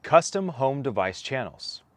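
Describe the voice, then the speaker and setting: A man reading a technical document aloud, phrasing deliberately and stressing each item as he goes. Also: mid-range voice, big pitch swings, no echo. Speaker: co-author reading a whitepaper aloud. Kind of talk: read-aloud document narration.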